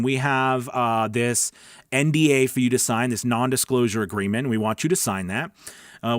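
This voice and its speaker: A man's voice: medium pitch, a bit bright, dry recording, studio quality. A man hosting a live question-and-answer stream as a coach, talking casually and emphatically, repeating words and checking in with his listeners. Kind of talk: casual instructional livestream chat, answering viewer questions.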